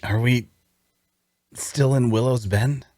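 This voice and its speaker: A man's voice: medium pitch, fairly even intonation, slow pace, mellow, no echo, clean studio sound. A man playing a weary, wary wounded prisoner who also narrates his story in an audio drama table read. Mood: uneasy, weak.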